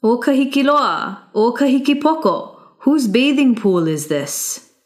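Woman voicing bold youth calling out, raised pitch. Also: animated, a little slow. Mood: bold, challenging. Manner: chanting, declamatory calls and questions. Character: bold young chief's son, chanting challenger in a legend.

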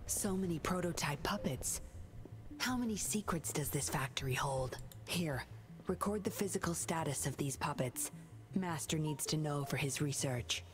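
An actress voicing a composed, secretive young woman puppeteer in a game, speaking in a hushed, commanding way. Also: sits low, animated, normal speed. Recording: game audio with music behind.

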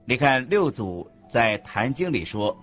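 Guy narrating a buddhist teaching program, very lively intonation, instructive, music under him. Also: mid-range voice, a little slow. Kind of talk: scripted didactic narration in a radio program. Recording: muffled lo-fi audio with music underneath. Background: background music.

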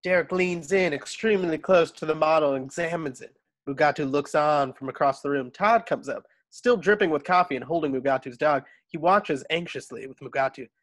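Man narrating, slightly high voice. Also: a little fast, a bit bright. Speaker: narrator of a table read. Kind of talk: reading screenplay stage directions aloud. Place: at home on a video call.

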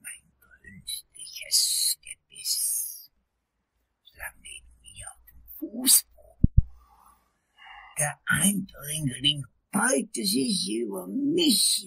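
An actor playing a fussy, shaken older lawyer in a crime radio play, with a breathy, raspy, halting, long-winded delivery. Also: male voice, sits high, huge pitch swings, sharp tone, exaggerated voice, low-quality recording. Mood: agitated, horrified. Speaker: client, prosecutor character.

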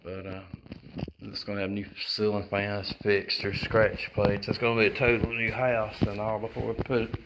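A man giving a rambling, relaxed walkthrough of a house he is renovating, recorded on a handheld phone. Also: lowish voice, moderate pace. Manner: rambling, relaxed, chuckling asides. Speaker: house flipper showing a renovation. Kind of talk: casual walkthrough vlog. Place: empty house, indoors and out. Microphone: phone camera held while walking. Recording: noisy handheld phone audio.

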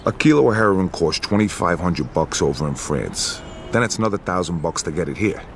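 An actor playing a confident, businesslike young mobster with an American accent, assured and blunt in a video game scene. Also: male voice, low voice, animated, brisk, slight background noise. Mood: confident, persuasive. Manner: assured, matter-of-fact, blunt. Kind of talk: video game cutscene acting.

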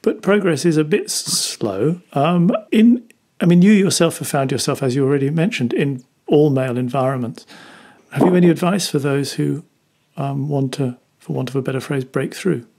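Guy interviewing, gravelly and a bit husky, hesitant, lots of ums. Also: on the high side, normal speed, a little mellow. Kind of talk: conversational interview questions.

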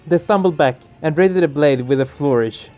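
A man's voice: a little high, a little fast, a little mellow. A man hosting a let's play, reading game narration aloud and adding casual commentary. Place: small home room, indoors. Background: game music.

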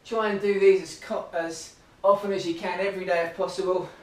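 A man's voice: high-pitched, level tone, brisk, a little hiss or hum. A man, coaching a home workout while he exercises, upbeat and encouraging, a bit out of breath. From Australia. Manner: upbeat, encouraging countdowns and cues. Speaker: running club fitness coach. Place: living room at home, indoors. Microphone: camera across the room. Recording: roomy, distant camera audio.

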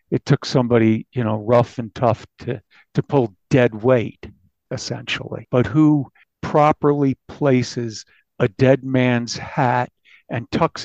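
A male journalist talking through a crime story in a podcast interview, halting and repeating words for emphasis. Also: medium pitch, a little mellow, dry recording, highs cut off.

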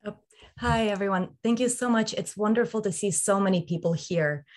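A woman's voice: medium pitch, fairly quick. A woman in her thirties from Ukraine, a film scholar giving a fluent presentation over a video call with frequent "um" fillers.

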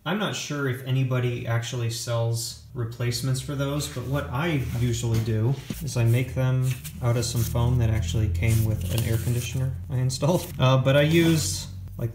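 A man in his forties talks through hands-on repair work in a workshop. His voice sits at a medium pitch. He is plainspoken and matter-of-fact, with a self-deprecating streak. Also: a little mellow, a little hiss or hum. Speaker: home repair video host. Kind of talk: casual hands-on repair explanation. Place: indoor home workshop.

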